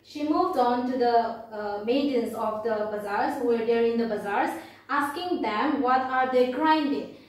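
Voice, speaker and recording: A young woman with an Indian accent and a high-pitched voice teaches an English class. She explains in halting, repeated phrases and reads aloud as a teacher would. The room is very echoey and she sounds distant from the microphone. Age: young adult. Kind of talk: classroom lesson, explaining and reading a poem aloud. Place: indoor classroom at a blackboard.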